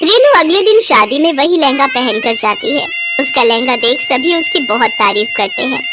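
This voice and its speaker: A woman narrating a children's moral cartoon story in a steady, clear way. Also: sits high, moderate pace.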